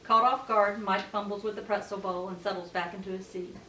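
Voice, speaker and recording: A woman's voice: medium pitch, fairly even intonation, brisk, pushed and bright. A woman reading out stage directions as the narrator of a scripted table read. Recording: echoey room, distant mic, faint noise.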